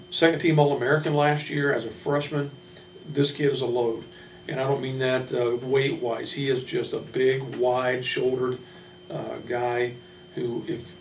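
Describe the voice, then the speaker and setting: A man speaking off the cuff as a college basketball coach, introducing his players in a halting, plain-spoken way. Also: medium pitch. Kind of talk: unscripted team roster introduction. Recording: roomy, distant mic, noisy hum.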